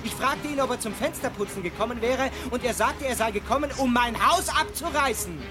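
A man's voice: extremely high pitch, a little fast, over music. An actor playing a stubborn, sardonic man with a dry, aggrieved manner in a radio comedy drama. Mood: aggrieved, sarcastic. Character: stubborn, sardonic Englishman defending his house.

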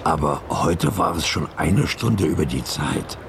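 A man narrating a radio drama as a solemn storyteller, with a gravelly voice and music behind him. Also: extremely low pitch, animated, measured pace, background noise, exaggerated voice. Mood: solemn storytelling.

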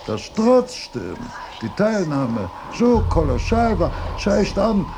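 An older man playing an elderly, opinionated philosopher in a radio drama, speaking in a halting, pedantic and emphatic way. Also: high-pitched, vocal fry, noisy background, exaggerated voice. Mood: solemn enthusiasm.

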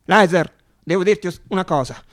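An actor playing an excitable man in a radio monologue, insistently chanting news over and over. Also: high-pitched, a little fast. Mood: breathless, mounting excitement. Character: excitable male messenger, obsessively repeating grand news.

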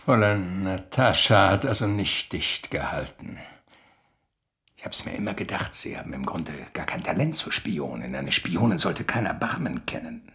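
Creaky, animated male professor. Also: sits low, full character voice. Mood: reproachful. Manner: hesitant, ponderous, deliberate delivery. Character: eccentric, theatrical male professor, hunted and evasive. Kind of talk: German radio drama acting.

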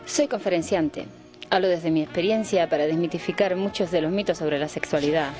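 A woman's voice: lowish voice, fairly quick, music in the background, lightly acted. A woman playing a poised, matter-of-fact female lecturer in a comic radio sketch. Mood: poised, frank.

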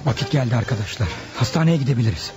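An actor playing a commanding gang leader in a radio play, giving clipped instructions. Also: male voice, medium pitch, lively intonation, rapid-fire, loud music behind the voice, natural voice. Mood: businesslike, conspiratorial. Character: brisk, commanding male gang leader planning murder. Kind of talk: Turkish radio theatre acting.

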